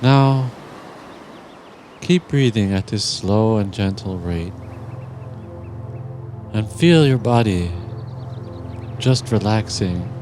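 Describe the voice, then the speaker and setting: A man narrating a guided meditation in a gentle, soothing, deliberate manner with long pauses. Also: lowish voice. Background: music.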